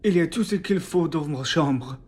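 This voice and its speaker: A woman's voice: deep voice, lively intonation, normal speed, a little mellow, husky. A woman playing a businesslike, indifferent innkeeper passing on orders in an amateur radio play. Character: matter-of-fact innkeeper bearing an officer's orders.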